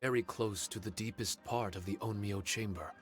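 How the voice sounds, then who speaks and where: A man plays a calm guardian spirit in a game, with a fairly low voice. The manner is formal, courteous and measured, as a patient guide. Soft music sits behind. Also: close to an everyday voice. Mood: reminiscing. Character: calm male spirit overseer guide, polite, measured, amnesiac. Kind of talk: video game voice acting.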